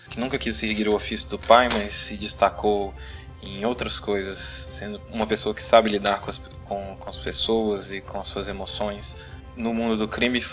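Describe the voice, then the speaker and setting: Low-voiced Brazilian man narrating, music behind. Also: pushed and bright. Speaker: podcast narrator and game master. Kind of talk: narrating a tabletop roleplaying adventure.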